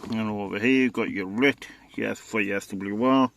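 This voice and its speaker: Low-pitched man giving a casual radio demo. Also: moderate pace, vocal fry, a bit bright, slight background noise. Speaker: radio enthusiast presenting equipment. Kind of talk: informal product demonstration.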